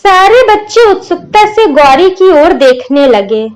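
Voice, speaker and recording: A woman with a high voice narrates a village moral story. She takes it slowly, line by line, as scripted storytelling. Clear instrumental music plays underneath her. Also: big pitch swings.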